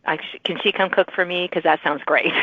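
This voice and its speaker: Phone-like, lower-voiced enthusiastic woman host. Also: expressive, normal speed, slightly rough, no room sound. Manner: enthusiastic, encouraging. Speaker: podcast host interviewing authors. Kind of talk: casual, chatty interview. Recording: thin phone-like sound.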